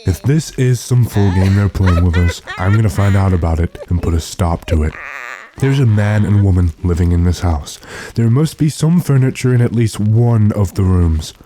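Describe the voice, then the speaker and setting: A man playing a stern, determined gentleman in a radio drama, speaking in a commanding, impatient way. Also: fairly low pitch, moderate pace, crowd noise, natural voice. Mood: irritated, determined. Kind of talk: classic radio drama acting.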